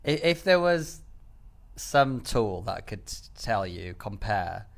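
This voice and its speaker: Deep, gravelly man in casual chat. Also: big pitch swings. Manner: boastful, halting, with stammered restarts. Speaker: podcast co-host.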